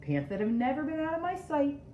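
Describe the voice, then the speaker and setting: A woman's voice: high voice, very animated, fairly quick, pitched above the performer's own voice. A woman voicing an indignant, self-important male storybook character who accuses his friends with sarcastic melodrama. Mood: insistent. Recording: roomy echo, dull sound, faint noise.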